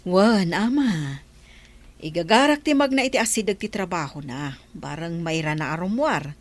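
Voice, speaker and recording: A woman's voice: on the low side, lively intonation, normal speed. A woman playing a kindly older village aunt who carries messages in a dramatised story.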